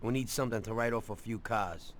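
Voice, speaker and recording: An actor with an American accent playing a blunt, slangy, streetwise mob enforcer in a video game. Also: male voice, fairly low pitch, fairly quick, a little hiss or hum, lightly acted. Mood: chummy. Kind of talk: dramatic video game cutscene acting.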